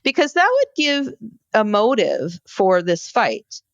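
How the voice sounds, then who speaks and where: A woman co-hosting a podcast, explaining a case conversationally in an informal, halting storytelling way. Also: mid-range voice, wildly swooping pitch, dry recording, dull audio. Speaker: podcast co-host. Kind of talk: conversational true-crime case discussion.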